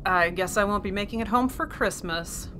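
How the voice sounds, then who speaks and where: Woman as wry space pilot in a sci-fi radio play, medium pitch, plain talking, dutiful. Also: normal speed, noisy background, natural voice. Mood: resigned, dutiful. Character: wry, dutiful female emergency ship pilot. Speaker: actor. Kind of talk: sci-fi audio drama acting and narration.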